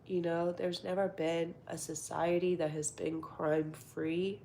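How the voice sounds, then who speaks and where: A woman with a cold, stuffed up and nasal, chatting casually to her livestream viewers from home in a soft voice that slips into half-whispers. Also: fairly low pitch, flat delivery, measured pace, creaky, a little hiss or hum. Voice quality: congested, nasal. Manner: soft-spoken, drifts into half-whispers, many fillers. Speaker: livestream host. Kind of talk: casual live-stream chat.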